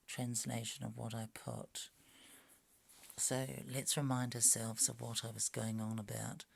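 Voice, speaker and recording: A quiet, soft-spoken man muttering to himself at home, grumbling mildly and trailing into whispers. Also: medium pitch, vocal fry, no room sound. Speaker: language video maker. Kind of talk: casual talking to himself while working. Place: home at night. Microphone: phone.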